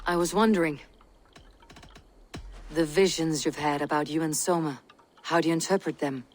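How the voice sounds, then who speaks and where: An actress playing a probing, reserved Viking warrior investigator in a video game cutscene. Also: female voice, deep voice, steady tone, moderate pace, soft background music, close to an everyday voice. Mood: grave, questioning.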